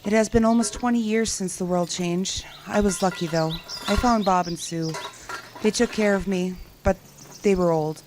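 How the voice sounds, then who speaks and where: Actress as a reflective lone survivor, noisy background. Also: female voice, medium pitch, normal speed, close to an everyday voice. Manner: reflective, musing to herself. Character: lone young woman survivor after apocalypse, reflective, yearning. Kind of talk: audio drama monologue.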